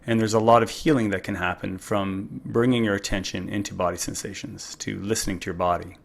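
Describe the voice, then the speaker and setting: A man leading a guided meditation in a calm, unhurried, gently instructive way. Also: fairly low pitch, creaky. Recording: music behind the voice. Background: music.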